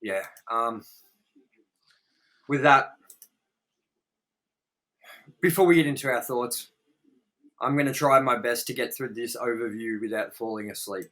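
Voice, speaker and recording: A man's voice: medium pitch, a little slow, long pauses, fairly bright tone. A man hosting a casual movie review chat, exasperated and prone to swearing. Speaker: host of a movie review series.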